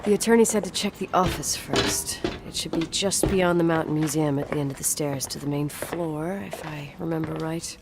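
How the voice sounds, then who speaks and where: Game lead: young woman, low, introspective, muttering to herself, clear noise behind. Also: moderate pace, few pauses. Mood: subdued, pensive. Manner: subdued, talking to herself. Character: strong-willed young woman returning to her family's hotel.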